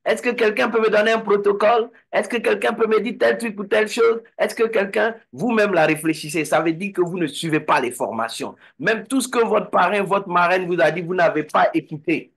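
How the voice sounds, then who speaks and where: A man's voice: high-pitched, moderate pace, creaky, fairly bright tone. A man giving a motivational talk to an online meeting, exhorting and repeating phrases. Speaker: network marketing speaker.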